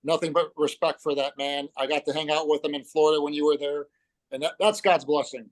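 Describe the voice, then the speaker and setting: Male co-host; halting, many restarts; slightly raised voice. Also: fairly high pitch, steady tone. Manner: halting, stacks false starts. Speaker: podcast co-host. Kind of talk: casual conversation.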